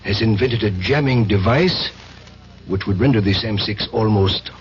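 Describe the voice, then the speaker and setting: An actor playing a cold Russian secret police officer in a 1970s radio drama, measured, formal and smoothly threatening. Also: male voice, lowish voice, close to an everyday voice. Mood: calm menace. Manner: measured, formal, coolly authoritative. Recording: dull, hissy old radio broadcast.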